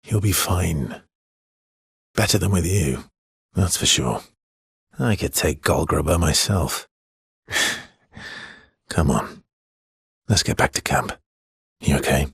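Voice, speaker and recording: An actor playing a wry, loyal, world-weary swordsman in a video game, with a husky, breathy voice and a dry, teasing manner. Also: a bass voice, animated, takes it slowly, long pauses, a bit bright, dry recording, heavily acted. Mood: wry, casual.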